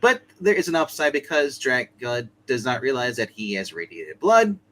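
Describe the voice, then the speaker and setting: A man working as game master, narrating a tabletop roleplay story in a casual, halting way that circles back on itself. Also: medium pitch, sing-song, fairly bright tone. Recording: clear background noise.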